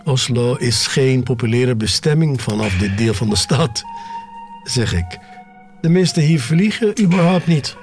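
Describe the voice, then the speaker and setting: An actor playing a sly, obsessive collector who narrates his own tale in an unhurried, savouring way for an audio drama. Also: mid-range voice, expressive. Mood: measured. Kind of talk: scripted first-person audio drama narration. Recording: music bed under voice.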